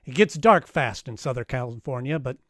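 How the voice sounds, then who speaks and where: An actor playing a tough, wry private detective in a 1940s-style radio drama, narrating and trading lines. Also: male voice, medium pitch, expressive, brisk, very noisy, close to an everyday voice. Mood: wry narration.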